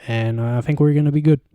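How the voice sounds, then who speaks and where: A man's voice: mid-range voice, no echo, highs cut off. A young man with a Bulgarian accent, chatting on a casual live stream, rambling and hesitant, with lots of fillers, into a handheld mic at home. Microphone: handheld microphone close to mouth.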